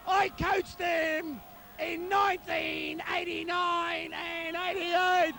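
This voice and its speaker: Shrill, shouting Australian man calling football. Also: gravelly, slight background noise. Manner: shouty, wildly excited, repeats names and calls. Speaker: amateur football commentator. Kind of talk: excited partisan live sports commentary.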